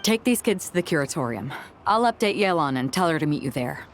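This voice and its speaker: A woman's voice: deep voice, expressive, brisk, slight background noise. A woman voicing a cool-headed, shrewd young woman in charge in a video game, casual, assured and direct. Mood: firm, protective.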